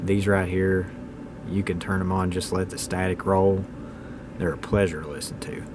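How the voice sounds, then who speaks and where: A man's voice: deep voice, flat delivery, normal speed, noisy background. A man in his thirties giving a casual, unscripted hobbyist video talk in a plainspoken, opinionated, folksy way. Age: 30s. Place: indoors at home.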